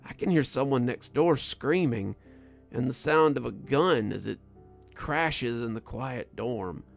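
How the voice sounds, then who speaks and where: A man voicing a wounded, delirious prison guard sergeant in a horror story, speaking in a weary, halting, frightened way. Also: medium pitch, animated, creaky, soft background music. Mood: dazed resignation. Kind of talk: first-person horror story narration.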